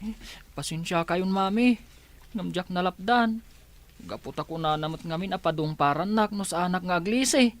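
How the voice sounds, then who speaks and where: A man's voice: high voice, normal speed. A man playing a dutiful, apologetic young adult son in an audio drama. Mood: worried. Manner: apologetic, polite. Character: dutiful young adult son, worried about his sister.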